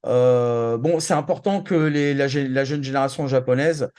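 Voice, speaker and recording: A man's voice: medium pitch, normal speed, darkish tone, clear, resonant tone. A man co-hosting a casual live chat show, hesitant and often repeating himself. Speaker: show co-host.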